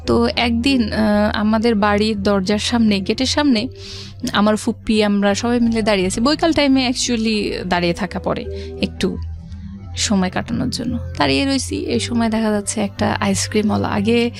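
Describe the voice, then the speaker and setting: A woman's voice: a little high, normal speed, creaky. A woman from Rajshahi with a Bangladeshi accent, telling her life story conversationally as a radio guest and mixing in English words. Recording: background music under the voice. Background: music.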